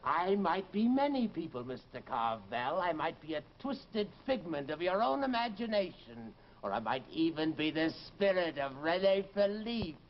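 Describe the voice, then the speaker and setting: A sinister, mocking phantom taunts in a high, swooping voice. The delivery is slow and menacing, in the manner of 1940s radio horror. The recording is dull, with some hiss. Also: male voice, very animated. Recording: dull, noisy old radio broadcast.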